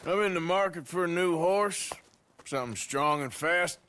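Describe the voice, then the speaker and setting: An actor playing a gruff, weary American outlaw with a laconic, dry drawl in a video game cutscene. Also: male voice, fairly high pitch, moderate pace, fairly bright tone, natural voice. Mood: matter-of-fact, dry.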